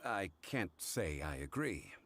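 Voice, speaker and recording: Game role: composed erudite gentleman, very low voice, expressive intonation, formal and quietly sure. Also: a little hiss or hum, exaggerated voice. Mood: calm, firm. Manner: formal, measured, politely contrary. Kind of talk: video game cutscene acting.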